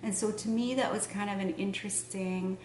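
A middle-aged woman from Morocco, a novelist answering interview questions in a measured, thoughtful way with pauses, in a room in front of bookshelves. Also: mid-range voice, fairly even intonation, a little mellow. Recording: roomy, distant mic, faint hiss.

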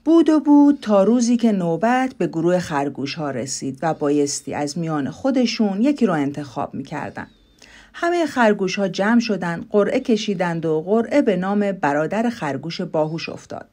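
Lowish-voiced woman telling a fairy tale. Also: very animated, dark tone. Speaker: storyteller. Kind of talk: scripted fairy-tale narration for a podcast.

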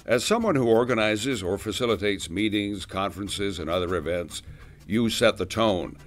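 A man's voice: fairly low pitch, moderate pace, faint music behind. A man narrating a scripted awareness video in an upbeat, lightly joking voice-over.

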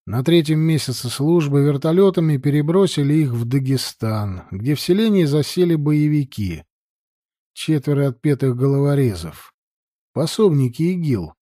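A man's voice: on the high side, expressive, normal speed, dark tone, no room sound, studio quality. A man reading a novel aloud as scripted audiobook narration.

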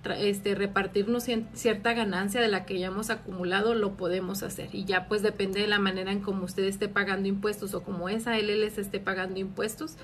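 A woman's voice: medium pitch, level tone, brisk, few pauses. Woman explaining taxes live. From Mexico. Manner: friendly, explanatory, many "este" fillers. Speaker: tax advisor answering viewer questions. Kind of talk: live stream Q&A. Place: small office indoors. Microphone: phone camera at arm's length.

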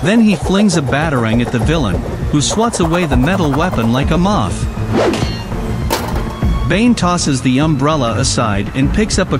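A man narrates a superhero tale with dramatic delivery. His voice sits a little high and moves in lively swings of pitch, with loud music running behind him. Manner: dramatic storytelling. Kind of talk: dramatic scripted narration of a superhero audio story.

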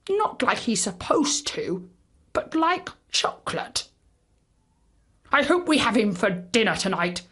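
A woman plays a squat, muscular storybook bulldog, not very bright and very pleased with himself. He chatters slowly and boastfully about catching and eating things, with big swings in pitch. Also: breathy edge, full character voice. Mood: greedy, musing. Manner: boastful, simple-minded chatter. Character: squat, dim, boastful male bulldog, hungry and predatory.

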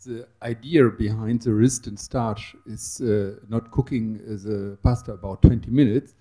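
Guy in the audience asking a question, super dark low voice, keeps searching for words. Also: steady tone, a little slow. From Germany.

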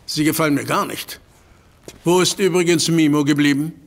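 An actor playing a worried, formal professor in an audio drama, fussy and exasperated. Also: male voice, a little high, fairly even intonation, moderate pace, gravelly. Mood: concerned. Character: worried elderly male professor, institute head.

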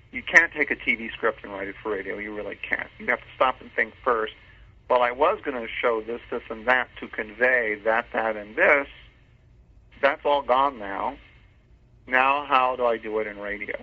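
A man's voice: mid-range voice, husky. Man on a tinny phone line. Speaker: interview guest, scriptwriter. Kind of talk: conversational interview.

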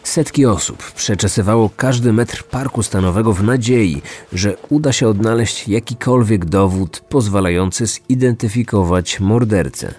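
A man's voice: fairly low pitch, moderate pace, hardly any pauses, a little mellow, breathy edge. A man hosting a true-crime podcast, reading a scripted narration. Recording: music bed behind the voice.

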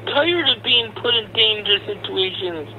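A man's voice: high-pitched, expressive, normal speed, narrow, tinny audio, a little hiss or hum. A man giving an angry, profane rant in an aggrieved, sarcastic manner. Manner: aggrieved, sarcastic, foul-mouthed. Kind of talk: angry, profane rant to camera and recorded audio.

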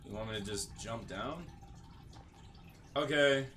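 A man's voice: fairly low pitch, measured pace, sharp tone, slight background noise. A man giving casual video game commentary, muttering offhand remarks as he plays. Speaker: gaming video host.

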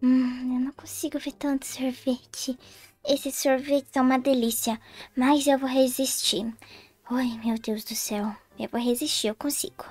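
A woman's voice: high-pitched, steady tone, a little slow, darkish tone. A woman voicing a naive girl who has suddenly become a young adult woman, chatty and thinking aloud with whiny sighs in a roleplay game story. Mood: fretting. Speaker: roleplay player voicing Diana.

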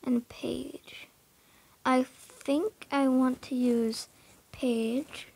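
A young woman with a high, creaky voice gives a casual, unhurried commentary while playing a horse game. Her speech is husky and hesitant, full of fillers, and she often drops to a whisper. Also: steady tone, a little mellow, no room sound. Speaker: gamer narrating her horse barn.

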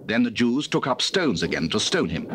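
A man's voice: medium pitch, sing-song, normal speed. A man narrating a dramatized Bible in a formal storytelling manner. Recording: noisy old cassette.

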